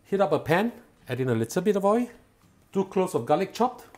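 A middle-aged man hosting a cooking show, explaining each step calmly and patiently in a home kitchen. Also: high-pitched, a little fast. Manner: calm, patient and instructive, enthusiastic when tasting.

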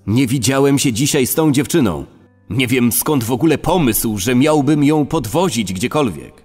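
An evasive middle-aged suspect acted by a man speaks defensively in a medium-pitched voice with long reverb. Music runs behind him in this true crime audio reenactment. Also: expressive, normal speed, darkish tone, slightly rough.

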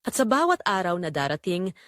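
Audio drama lead: actress as middle-aged Filipina wife and mother, reflective and resolute, lively intonation, dry close sound. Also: fairly low pitch, no room sound. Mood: earnest, resolute. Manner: reflective, earnest, measured confessional phrasing. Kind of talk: scripted first-person audio drama narration.

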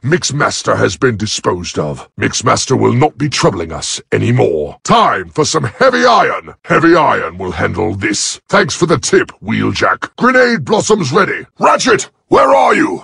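An actor playing a cocky, gruff, battle-loving giant robot soldier in a video game, raspy and gravelly, with a swaggering, wisecracking delivery. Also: male voice, mid-range voice, wildly swooping pitch, moderate pace, few pauses, fairly bright tone, no echo, clean studio sound, full character voice. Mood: urgent shouting.

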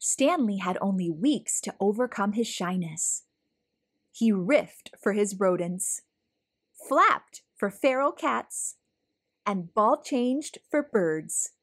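Slow, expressive woman reading a storybook. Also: mid-range voice, slightly rough. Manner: expressive, deliberate phrasing. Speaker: storybook reader, host. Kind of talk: children's read-aloud narration.

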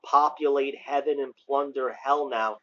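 A man's voice: medium pitch, fairly even intonation, a little slow, sharp tone, dull audio. A middle-aged American man preaching informally as an evangelist, exhorting emphatically in colloquial language into a device microphone on a covered porch. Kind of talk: informal online sermon with scripture readings. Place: outdoors on a covered house porch. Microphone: phone or webcam at arm's length.

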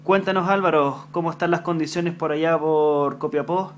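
Chilean man, friendly radio chat, call signs, slightly high pitch. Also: fairly even intonation, moderate pace, slight background noise. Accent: Chilean Spanish. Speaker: amateur radio operator. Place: home room at a radio desk.

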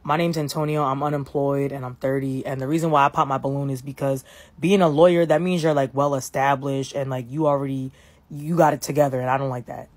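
A woman playing several blunt, petty young men on a dating show, in a lowered voice. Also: fairly quick, no room sound. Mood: deadpan. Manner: lowered voice, blunt, deadpan excuses. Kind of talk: comedy sketch.

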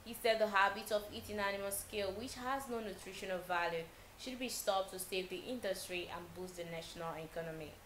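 A young Nigerian woman reading a news bulletin as a TV newsreader, steady and measured with small slips. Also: mid-range voice, roomy sound, slight background noise, low-quality recording. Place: TV news studio.

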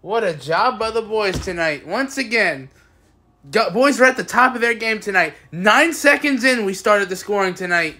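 A young man giving casual, excitable postgame recaps as a sports fan, recorded on a phone at home. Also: high-pitched, normal speed. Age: young adult. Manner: excitable, exclamatory fan cheering. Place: small indoor room at home. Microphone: phone at arm's length.

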